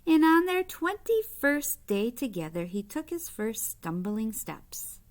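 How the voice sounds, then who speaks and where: A woman's voice: a little high, very animated, slow pace. A woman reading a picture book aloud like a teacher, explaining as she goes and putting simple questions to young listeners.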